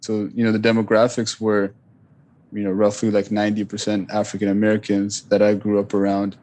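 A man whose first language was Punjabi talks through his memories as an interview guest. His voice sits low and stays fairly flat, and he pauses, restarts and leans on fillers as he thinks aloud. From Richmond, Virginia, US. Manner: thoughtful, with frequent fillers and restarts. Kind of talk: reflective interview talk.

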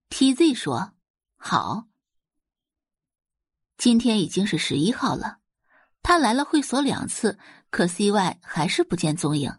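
A woman narrating a dramatic audio novel in an expressive storytelling manner. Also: mid-range voice, husky, no echo, studio quality. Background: faint background music.

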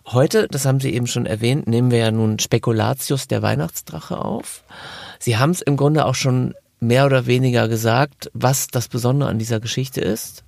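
A man interviews a guest for a podcast in a courteous, conversational way. His voice sits at an average pitch with a gravelly fry to it. The recording is dry and free of room sound. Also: steady tone, normal speed, darkish tone, no room sound. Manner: courteous, formally addressing the guest.

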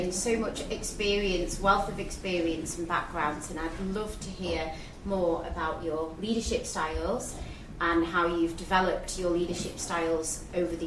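Enthusiastic woman host, low voice. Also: background noise. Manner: enthusiastic, praising. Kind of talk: moderating a live panel. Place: event venue with live audience. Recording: roomy, distant, live-event ambience.

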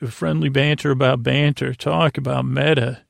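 A man hosting a sleep podcast in a rambling bedtime monologue, hesitant and meandering, trailing off into tangents in a gravelly, creaky voice. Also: mid-range voice, steady tone, normal speed, no echo.

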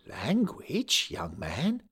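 Man doing a hesitant, soft-spoken 92-year-old. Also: fairly high pitch, huge pitch swings, extremely slow, husky, voice pushed up, exaggerated voice. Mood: flustered. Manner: hesitant, soft, stammering, fatherly. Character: gentle 92-year-old man, football club manager.

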